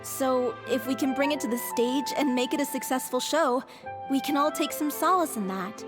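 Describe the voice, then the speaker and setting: Actress: earnest troupe member, bright high register, game score underneath. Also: female voice, moderate pace, close to an everyday voice. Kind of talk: game cutscene voice acting. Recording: game soundtrack music behind.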